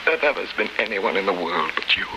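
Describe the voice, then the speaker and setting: An actor playing an anguished, devoted prisoner in a solemn, impassioned 1930s radio drama style. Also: male voice, low voice, lively intonation, fast talker, background noise. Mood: tender. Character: anguished, devoted middle-aged male prisoner looking back on love. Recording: 1930s radio broadcast with music.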